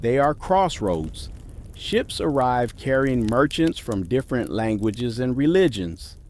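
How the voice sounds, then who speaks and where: A man reading scripted documentary narration in a measured, emphatic way. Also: medium pitch, sing-song, darkish tone. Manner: measured, emphatic list delivery.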